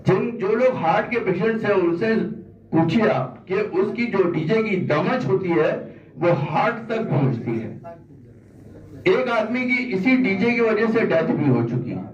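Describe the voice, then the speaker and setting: A man with a high-pitched voice speaks as if addressing officials, pressing his case with repeated points and pointed questions. The sound is echoey and muffled, picked up at a distance from the microphone. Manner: insistent, argumentative, with rhetorical questions and repetition. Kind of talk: forceful public statement of grievance.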